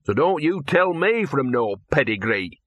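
A man's voice: medium pitch, sing-song, measured pace, fairly bright tone, dry recording, highs cut off, voice pushed up. Man as a sneering, scruffy little talking mongrel. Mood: jealous, indignant. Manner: withering, sneering, muttering out of the corner of the mouth. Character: scruffy, cynical, sarcastic small male talking mongrel.

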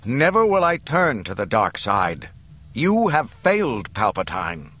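An earnest young hero performed by a male audiobook reader, pitched up and very animated. He speaks with impassioned emphasis, defiant yet sincere, as a son standing his ground. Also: unhurried, heavily acted. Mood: resolute. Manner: impassioned, emphatic, raised-voice delivery. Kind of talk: dramatic audiobook character voice.

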